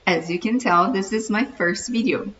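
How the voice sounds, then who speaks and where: Bright-voiced Brazilian woman vlogger. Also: medium pitch, normal speed. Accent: Brazilian Portuguese. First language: Portuguese. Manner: earnest, encouraging, talks straight to viewer. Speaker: vlogger, channel host. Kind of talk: casual self-introduction to camera. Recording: distant mic, dull muffled sound, faint room hiss.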